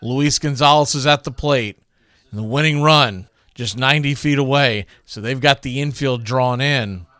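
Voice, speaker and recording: A man's voice: medium pitch, normal speed, a bit bright, no echo, clean studio sound. A man hosting a baseball radio show, introducing classic game calls in a conversational, opinionated way.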